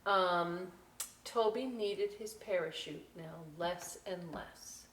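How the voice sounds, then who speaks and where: A woman presenting a children's story time, reading aloud with chatty, animated, folksy asides straight to young viewers. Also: on the low side, takes it slowly. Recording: roomy, distant mic with faint hiss.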